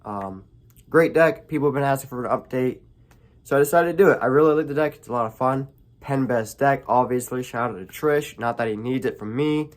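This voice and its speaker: A young man with a stuffy, nasal voice from allergies, casually walking through a card deck, rambling with many pauses and self-corrections. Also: mid-range voice. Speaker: card game channel host. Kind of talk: casual deck profile walkthrough.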